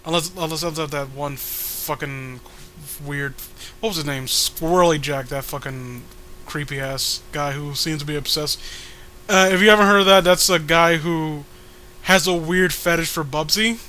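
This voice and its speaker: Bright-voiced man giving sarcastic commentary. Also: a little high, moderate pace. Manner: sarcastic, rambling, foul-mouthed. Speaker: co-host reading a webcomic aloud with commentary. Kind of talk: casual mocking commentary.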